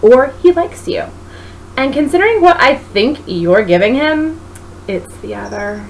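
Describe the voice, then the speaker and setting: A woman reads as a nosy young mother who loves to tease her best friend. Her storybook dialogue often rises high. The recording has noise behind it. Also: very animated, measured pace, full character voice. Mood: teasing. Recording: noisy home recording.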